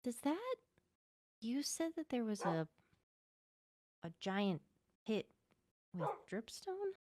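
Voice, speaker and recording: A woman's voice: a little high, sing-song, slow pace, darkish tone, no room sound, clean studio sound. A woman playing in an online roleplay, casually talking and asking questions in character. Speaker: roleplay gamer.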